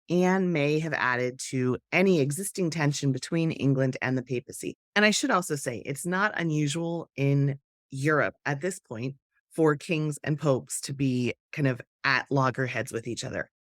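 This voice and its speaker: Woman history podcaster, voice gone hoarse, chatty and excited, dry close sound. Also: low voice, normal speed, dry recording. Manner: chatty and enthusiastic, talks straight to viewers. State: hoarse, lost her voice. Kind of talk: casual educational talk to camera.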